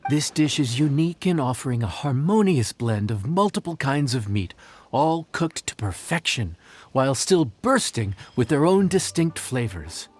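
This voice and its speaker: An actor playing a modest, patient young male chef in a video game, explaining in a measured, earnest way. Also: mid-range voice, very animated. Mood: earnest, instructive.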